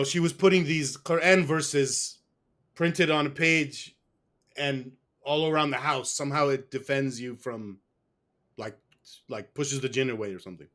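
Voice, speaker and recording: Man, somewhat high voice, guest in a casual interview, rambling, restarts a lot. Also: fairly bright tone. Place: West.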